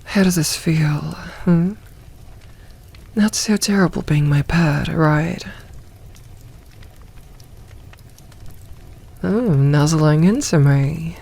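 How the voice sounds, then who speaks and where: A woman voicing a possessive, teasing succubus demoness in a soft ASMR roleplay, coaxing and soothing, with some breathy moments. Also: low voice, takes it slowly, full character voice.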